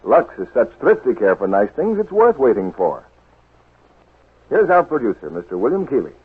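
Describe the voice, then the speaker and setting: Raspy, animated 1940s radio announcer man. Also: medium pitch, moderate pace. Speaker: announcer and sponsor pitchman. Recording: muffled old 1940s radio broadcast.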